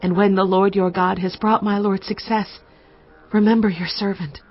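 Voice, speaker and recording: A woman acting a humble, wise noblewoman in a scripture audio drama. She speaks earnestly and reverently in measured phrases at a medium pitch. Music runs behind the slightly muffled recording. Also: flat delivery, lightly acted. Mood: earnest pleading.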